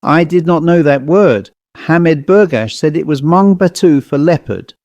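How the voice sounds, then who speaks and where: A man's voice: on the high side, normal speed, darkish tone, no echo, highs cut off, pitched above the performer's own voice, natural voice. A man voicing an earnest, shaken male explorer in an audiobook horror story, hesitant and grave as he recounts eerie things. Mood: troubled.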